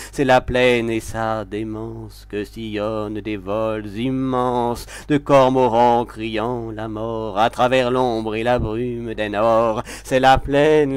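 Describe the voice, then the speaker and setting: A man giving a dramatic literary recitation of poetry, with solemn, deliberate and expressive phrasing. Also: mid-range voice, smooth, no room sound. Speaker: poetry reader.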